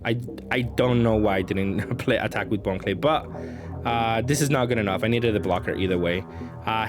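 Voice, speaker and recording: Man thinking aloud over background music. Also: mid-range voice. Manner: hesitant thinking aloud, trailing off. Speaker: card game player commentating his matches. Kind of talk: casual improvised gameplay commentary.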